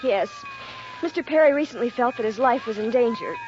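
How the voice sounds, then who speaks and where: A muffled, faintly noisy 1940s radio recording holds an actress as a young-sounding office secretary. Her voice is high, polite and anxious, in the period radio drama manner. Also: expressive, brisk, a little mellow, natural voice. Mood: shaken, earnest.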